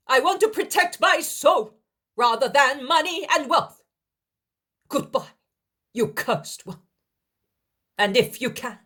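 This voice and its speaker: A woman voicing an honest, pious young man who pleads earnestly, with a husky voice. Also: high-pitched, fairly bright tone, voice pushed up, full character voice.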